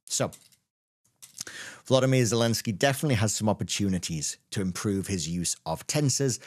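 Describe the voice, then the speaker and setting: Low-voiced man teaching grammar. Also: darkish tone. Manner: patient, slows down on key words. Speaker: English teacher. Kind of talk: explaining grammar to camera.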